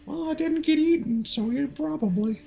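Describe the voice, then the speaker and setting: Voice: high voice, normal speed, vocal fry, mellow. A game streamer giving casual, profane live commentary with sardonic, joking asides. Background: video game music and sounds.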